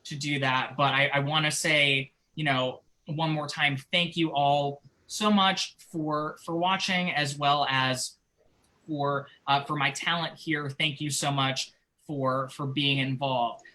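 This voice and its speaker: A man's voice: on the high side, steady tone, slow, bright, forceful tone. A man hosting an online discussion, speaking casually and earnestly to the audience, often repeating words as he goes. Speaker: host.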